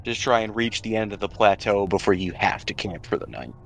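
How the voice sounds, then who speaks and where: A man's voice: fairly low pitch, lively intonation, fairly quick, creaky, fairly bright tone. A man running a casual online tabletop game as game master, explaining rules and joking conversationally.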